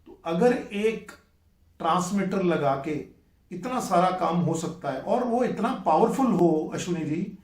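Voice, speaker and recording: A man from India speaks in a somewhat high-pitched voice as he makes an impassioned appeal to his viewers. He presses each point emphatically, often repeating it, and drops English words into his speech. The room around him rings with strong echo. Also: darkish tone. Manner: mixes English words into Hindi. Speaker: radio enthusiast video host. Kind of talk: impassioned direct-to-camera appeal. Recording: echoey, reverberant room.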